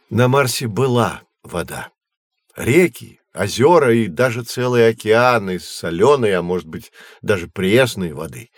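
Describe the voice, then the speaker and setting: An actor playing a patient, knowledgeable teacher in an educational audio drama, explaining in measured, clear phrases. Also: male voice, lowish voice, dry recording, clean studio sound. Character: patient, knowledgeable male astronomy teacher guiding children.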